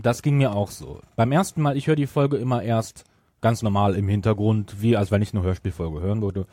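A man's voice: low voice, warm tone, dry recording. A man co-hosting a podcast, talking casually about audio dramas. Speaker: podcast co-host.

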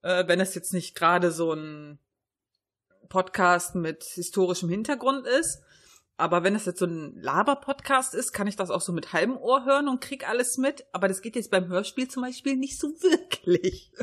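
A woman's voice: mid-range voice, moderate pace. A woman co-hosting a podcast in a casual, informal, self-deprecating way. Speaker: podcast co-host. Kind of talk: casual chat.

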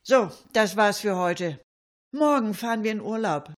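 A woman's voice: mid-range voice, animated, a little slow, natural voice. A woman playing a chatty older lady in a comic monologue, rambling colloquially and addressing her listeners with mock indignation. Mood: chatty, wry.

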